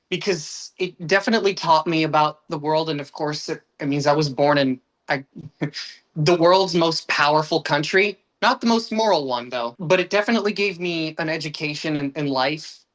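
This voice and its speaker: A man's voice: on the high side, normal speed, a bit bright. A young man from the United States, a podcast guest who talks earnestly with frequent restarts and uneven pacing. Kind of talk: casual podcast conversation.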